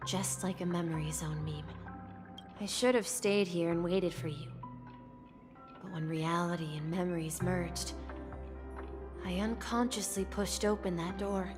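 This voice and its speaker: A gentle young boy from a video game, played by a woman, speaks slowly and wistfully. The delivery is earnest and reflective, set in long reverb with music clearly underneath. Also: lowish voice, fairly even intonation, natural voice. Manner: measured, reflective, earnest storytelling.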